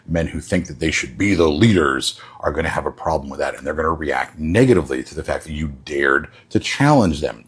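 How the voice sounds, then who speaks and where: A man delivering a direct advice monologue as a social media creator, with emphatic, deliberate phrasing. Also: rumbling low, husky.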